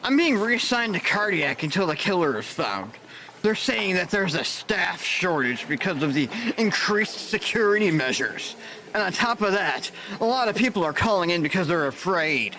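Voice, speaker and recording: An actor playing a cynical, crude-talking hospital worker in an audio drama. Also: male voice, high-pitched, normal speed, a little hiss or hum, full character voice, low-quality recording. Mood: sardonic. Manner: sarcastic, crude, animated.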